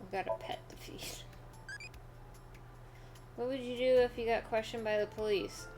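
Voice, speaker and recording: A woman's voice: on the high side, fairly even intonation, normal speed, darkish tone, faint music behind, rough recording. A woman streamer making offhand, joking asides while playing a game. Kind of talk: casual let's-play commentary.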